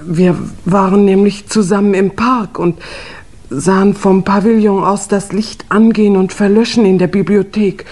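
An actress playing a timid, devoted young housemaid in a 1950s radio mystery, deferential, pleading and emotional. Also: female voice, medium pitch, fairly even intonation, normal speed, darkish tone, natural voice.

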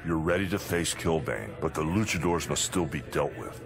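A very deep, stern game trainer. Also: male voice, lively intonation, moderate pace, creaky, over music, heavily acted. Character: grim male trainer, stern and harsh. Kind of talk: video game cutscene acting.

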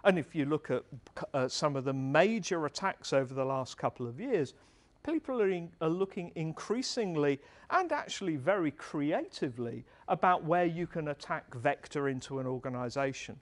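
Man, middle-aged, slightly high voice, earnest conference talk, handheld mic, big hall. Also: animated, normal speed. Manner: conversational, earnest, gestures while presenting. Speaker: speaker at a tech meetup. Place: large dark event hall.

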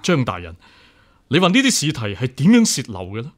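A man's voice: mid-range voice, wildly swooping pitch, fairly quick. A male actor with a Hong Kong Cantonese accent playing an upright, stubborn deputy examiner, earnest, formal and insistent, in a radio drama. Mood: suspicious, firm.

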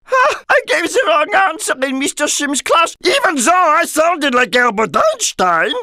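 A woman's voice: sits high, big pitch swings, measured pace, bright, forceful tone, dry recording, studio quality, pitched above the performer's own voice, heavily acted. A woman voicing a brash, mouthy school kid who talks like a bully in a story, exaggerated in manner.

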